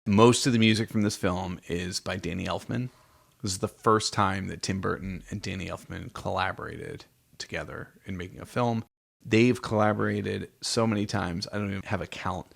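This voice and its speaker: Male podcast co-host, low gravelly voice, casual and explanatory, talking film trivia in a conversational way. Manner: explanatory, quizzing his co-host.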